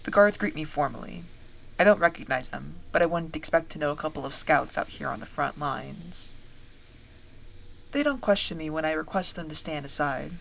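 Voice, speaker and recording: A woman playing a wry dragon-riding soldier, narrating a fantasy audio drama in the first person in a measured storytelling way. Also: sits low, gravelly, fairly bright tone, slight background noise, poor audio. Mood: composed, watchful.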